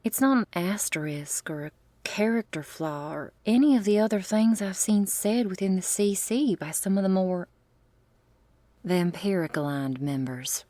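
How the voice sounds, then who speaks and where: A woman's voice: medium pitch, normal speed, mellow, no room sound, close to an everyday voice. A woman voicing a playful, irreverent rebel vampire lover in an ASMR roleplay, with a blunt, informal, teasing manner. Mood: sincere, casual.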